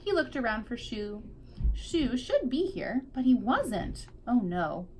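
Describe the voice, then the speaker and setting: A woman's voice: on the high side, very animated, takes it slowly, darkish tone, a little hiss or hum. A woman teacher reading a picture book aloud expressively to young children, drawing out key words for emphasis.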